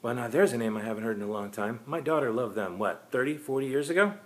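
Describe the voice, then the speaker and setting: A man voicing a brusque, sharp-tongued woman psychic in her late sixties for a short story. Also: medium pitch, animated, fast, close to an everyday voice. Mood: chatty, curious.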